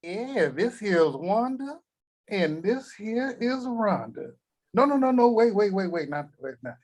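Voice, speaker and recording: An actor at a table read playing a boastful, crude, newly rich grandfather, talking in a slow drawl. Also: high-pitched, very animated, darkish tone, heavily acted.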